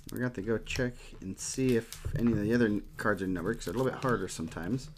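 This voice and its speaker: A man's voice: lowish voice, racing, a little hiss or hum. A middle-aged man chatting casually as he hosts a card-opening show from a desk in a small room. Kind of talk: casual chat while showing cards.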